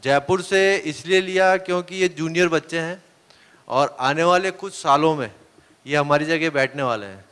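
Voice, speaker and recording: Team captain at a press table, smooth voice, hall echo. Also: male voice, fairly high pitch, normal speed, fairly bright tone. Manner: earnest, hesitant with many fillers. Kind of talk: press conference answers. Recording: long reverb of a hall.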